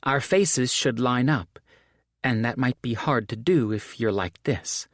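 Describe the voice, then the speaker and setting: A man voicing a wary young human woman in an audiobook, lifting his voice higher for her lines. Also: measured pace, dry recording, studio quality, close to an everyday voice. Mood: uneasy. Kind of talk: audiobook character dialogue.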